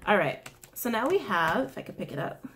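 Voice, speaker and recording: A young woman with a raspy voice giving a casual tarot reading to camera from a small indoor room, chatty and giggly, with apologetic asides. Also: mid-range voice, sing-song, brisk, a bit bright. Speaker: tarot reader.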